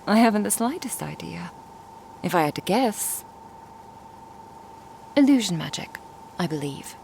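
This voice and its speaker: A woman acts out a composed female merchant and master in an ASMR audio drama. Her slightly low voice moves through lively intonation as she speaks formally and inquisitively. Faint ambient noise sits behind her. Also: a little slow, gravelly. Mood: curious, composed.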